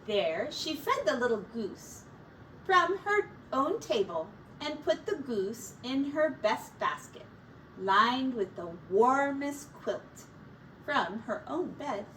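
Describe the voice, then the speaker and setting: A woman reading a children's picture book aloud as a teacher, in a deliberate, expressive storytelling manner. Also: high-pitched. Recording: distant mic with faint background noise.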